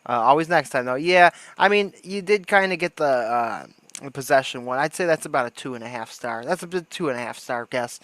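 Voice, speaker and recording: A man's voice: medium pitch, lively intonation, fairly quick, fairly bright tone, no room sound. Jokey male streamer, dry close sound. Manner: jokey, slangy, irreverent. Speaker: livestream host. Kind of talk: casual reaction commentary.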